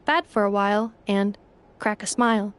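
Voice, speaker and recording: A woman's voice: medium pitch, fairly even intonation, normal speed, clear, resonant tone, natural voice. A woman voicing a polite robot maid in a video game, formally and literally explaining things in an earnest way. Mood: earnest, explanatory.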